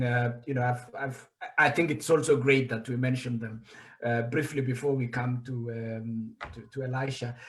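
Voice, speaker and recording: A man hosting a livestream book launch, reading out viewer comments in an animated, chatty way. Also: on the high side. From Kenya. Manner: animated, reads out comments, laughs easily. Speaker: host. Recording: echoey room.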